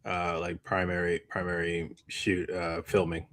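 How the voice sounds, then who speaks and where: A man with a fairly low voice talks casually as a podcast co-host. He rambles in a halting way, often dropping a sentence midway and starting it again. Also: completely flat delivery, a bit bright, a little hiss or hum. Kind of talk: casual chat.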